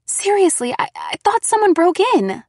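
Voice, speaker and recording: Lady doing a scared teen girl, audiobook dialogue, high voice bit raspy, emphatic and huffy. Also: lively intonation, unhurried, darkish tone, dry recording, clean studio sound, exaggerated voice. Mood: indignant, frightened. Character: jumpy, easily frightened, indignant teenage girl.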